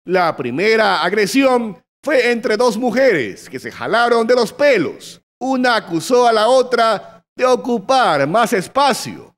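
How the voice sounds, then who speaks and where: A man with a Peruvian Spanish accent reading a scripted local news report in a brisk, formal way. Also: very high voice, sing-song, fairly bright tone.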